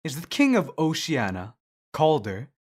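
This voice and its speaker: Bantering, stubborn male friend played by a man, audio drama, mid-pitched and animated with a rasp. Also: unhurried, heavily acted. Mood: skeptical.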